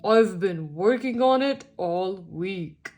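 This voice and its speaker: A woman puts on a grumpy tomcat from a storybook, pitched down low. He is gruff and competitive, yet earnest. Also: expressive, takes it slowly, a little mellow. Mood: proud. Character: grumpy, competitive male cartoon cat musician.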